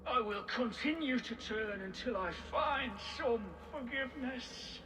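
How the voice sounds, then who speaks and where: Slow, rueful shamed warrior. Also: male voice, very high-pitched. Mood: resolved. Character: shamed warrior, earnest, brooding, devout. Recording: game audio with clear ambient noise.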